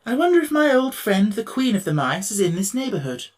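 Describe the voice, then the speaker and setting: A man's voice: high-pitched, very animated, brisk, voice pushed up. A man voicing a kind-hearted, courtly male tin man who speaks with polite firmness. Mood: courteous. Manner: courteous, gently firm.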